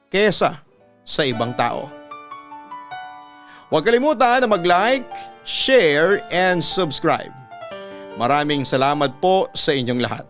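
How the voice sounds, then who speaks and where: A man reads listener letters as a radio storytelling host, measured and earnest, with deliberate dramatic pauses. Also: fairly high pitch, fairly bright tone. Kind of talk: dramatic reading of listener letters, scripted narration. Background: background music.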